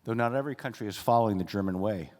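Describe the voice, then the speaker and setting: Guy moderating a conference panel, lowish voice, echoey hall. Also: racing, darkish tone.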